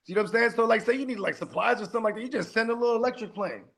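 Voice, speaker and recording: A man's voice: extremely high pitch, rapid-fire, vocal fry, a loud crowd. A man co-hosting a casual podcast, rambling with heavy slang, fillers and frequent laughter. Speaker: podcast co-host.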